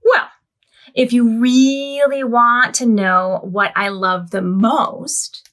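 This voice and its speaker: A woman reading a picture book aloud and voicing a kind, gentle male bear in a slightly lower, soft-spoken way. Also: steady tone, takes it slowly.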